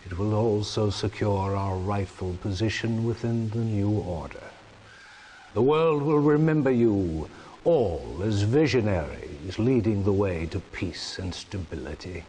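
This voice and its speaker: Male actor as smug totalitarian leader, game villain; pompous measured oratory, audible background noise. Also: fairly low pitch, a little mellow, close to an everyday voice. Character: smug, menacing male chairman of a totalitarian regime. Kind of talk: theatrical villain monologue. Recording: noisy game cutscene audio.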